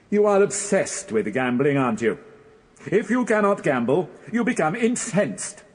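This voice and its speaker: An actor playing a stern, relentless British prosecuting barrister in a radio drama, questioning formally and deliberately. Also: male voice, a little high, big pitch swings, husky, heavily acted. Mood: accusing.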